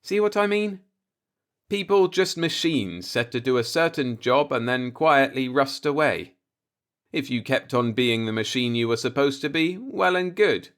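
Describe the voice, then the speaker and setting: A man's voice: mid-range voice, expressive, a little slow, fairly bright tone. Brooding professor, voiced by a man, musing bitterly. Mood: bleak musing. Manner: musing, pointed, rhetorical monologue. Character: brooding, disillusioned male professor philosophizing bitterly. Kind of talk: audiobook character monologue.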